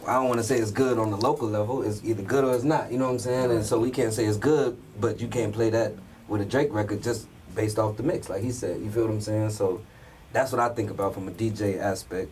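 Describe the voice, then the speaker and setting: A man's voice: fairly low pitch, steady tone, quick pace, a little mellow, recorded from a distance, slight background noise. A man co-hosting a show as a DJ, chatting casually about songs and often saying "you feel what I'm saying". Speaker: DJ and co-host reviewing songs. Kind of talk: casual chat.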